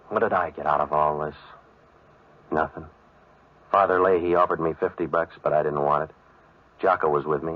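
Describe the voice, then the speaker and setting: Very deep hardboiled American radio detective. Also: male voice. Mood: wry, cynical. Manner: terse, deadpan, wisecracking. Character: cynical, world-weary hardboiled male private operator narrating a case. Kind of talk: 1940s radio noir narration. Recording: muffled vintage radio recording.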